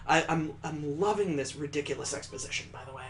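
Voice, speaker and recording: A man's voice: a little high, a little fast, a little hiss or hum. A man giving casual commentary as a streamer playing and reading through a game. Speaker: let's-play streamer. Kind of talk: casual commentary between read-aloud game dialogue.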